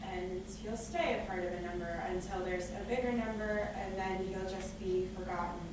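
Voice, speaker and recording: A woman's voice: medium pitch, fairly even intonation, moderate pace, natural voice. An actress playing an anxious, stubborn young woman in a staged play reading, emphatic and rambling as she frets about catastrophe. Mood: subdued, anguished. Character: anxious young woman, dramatic, stubborn, afraid to leave her room. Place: live staged reading in a reverberant room. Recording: echoey room from far off, noisy.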